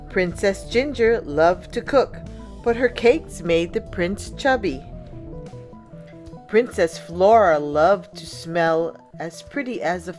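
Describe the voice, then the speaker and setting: Slow-paced woman reading a children's story. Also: fairly low pitch. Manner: deliberate, expressive storybook delivery with acted sound words. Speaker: storyteller reading a picture book aloud. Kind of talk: children's story read-aloud narration.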